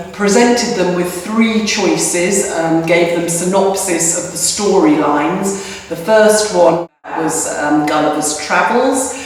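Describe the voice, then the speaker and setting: A woman, a festival director, calmly explains a planning meeting to the camera. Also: lowish voice. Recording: echoey hall, distant mic.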